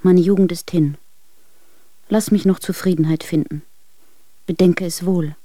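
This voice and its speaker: Unhappy wife begging, dark mellow voice, dry radio play sound. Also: female voice, on the low side, fairly even intonation, measured pace, dry recording. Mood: pleading. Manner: earnest, imploring. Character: unhappy, pleading young wife. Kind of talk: dramatic letter reading in a radio play.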